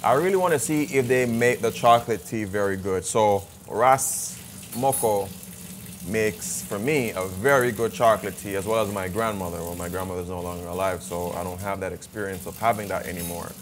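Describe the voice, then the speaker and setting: A Jamaican man chatting casually as a travel vlogger, relaxed and talkative with some stammered restarts. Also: fairly low pitch, normal speed, slight background noise. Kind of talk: casual travel vlog chat.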